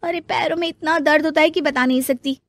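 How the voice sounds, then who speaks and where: A young, overworked daughter-in-law played by a woman in a cartoon moral story. Her voice is very high and sounds close and dry. She talks in a chatty, exclaiming, homely way. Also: fairly even intonation, brisk, a bit bright, dry recording. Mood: complaining, defiant. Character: young overworked daughter-in-law, eager then weary and grumbling.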